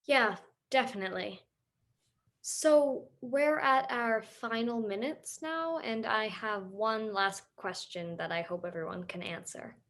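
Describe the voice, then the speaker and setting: Woman acting as a slow-paced, calm interviewer. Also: fairly high pitch. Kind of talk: documentary theater, conversational.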